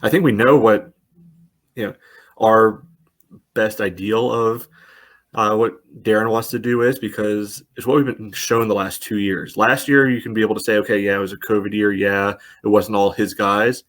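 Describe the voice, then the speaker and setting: A man co-hosting a casual sports podcast, dry and wry, reeling off stats at a steady clip. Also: on the low side, normal speed. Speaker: podcast co-host.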